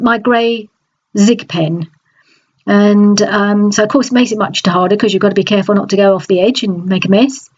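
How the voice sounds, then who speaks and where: A woman with a stuffy, nasal voice from a cold, chatting in a relaxed voice-over about a craft project, with many small restarts. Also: medium pitch, muffled recording. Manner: chatty, hesitant, frequent restarts. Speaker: scrapbooking crafter. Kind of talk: process video voice-over.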